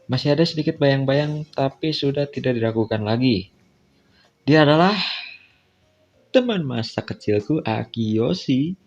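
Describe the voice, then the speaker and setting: A man's voice: mid-range voice, a little mellow. A man with an Indonesian accent reads a visual novel aloud as a casual playthrough, dropping in offhand asides. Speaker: video game player reading a visual novel aloud. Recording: dull lo-fi mic, music behind.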